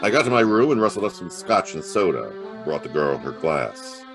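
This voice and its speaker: Hard-boiled noir private eye: low, lively delivery, terse and wry, music underneath. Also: male voice, moderate pace. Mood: matter-of-fact narration. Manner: terse, wry, slangy tough talk. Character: hard-boiled, wry private detective narrating his case. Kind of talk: noir audio drama acting and narration.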